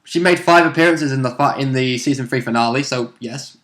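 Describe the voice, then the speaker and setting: Male co-host, mid-pitched, relaxed informal banter, drops in joking asides. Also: a little fast. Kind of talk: casual banter.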